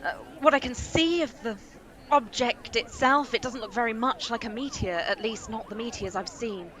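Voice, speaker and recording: An actress playing a female radio reporter in a radio play, briskly announcing and getting flustered at a strange scene. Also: a little high, a bit bright, lightly acted. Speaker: radio commentator. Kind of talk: amateur radio play acting.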